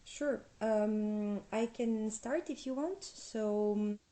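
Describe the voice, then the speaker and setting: Mellow-voiced woman from France. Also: mid-range voice, slow. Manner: chatty, light laughs between phrases. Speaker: guest. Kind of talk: casual interview.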